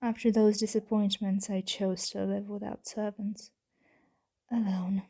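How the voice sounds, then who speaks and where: A woman voicing a composed, patient vampire owner in an ASMR roleplay, speaking softly and half-whispering with measured, formal, deliberate phrasing. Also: medium pitch, flat delivery. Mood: disdainful, reflective. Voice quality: soft, breathy, half-whispered with creaky edges. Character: composed, patient female vampire owner, commanding yet gentle. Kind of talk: ASMR roleplay, scripted monologue to the listener.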